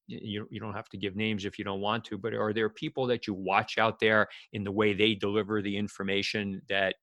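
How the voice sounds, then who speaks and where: A man with a crisp-edged, bright voice and plenty of vocal fry hosts an interview. He feels his way through casual questions in halting phrases. The recording is close and dry. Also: fairly low pitch, dry recording. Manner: hesitant, halting phrasing with many filler phrases. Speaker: podcast host, interviewer.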